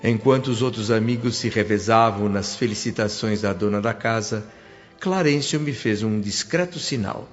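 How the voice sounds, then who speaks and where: A man's voice: fairly low pitch, normal speed, close to an everyday voice. An actor playing a humble, sentimental spirit who recounts his afterlife in earnest, literary Brazilian Portuguese radio drama narration. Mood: moved, reflective. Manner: earnest, reverent, literary storytelling. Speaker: narrator and protagonist. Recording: muffled radio drama with music bed.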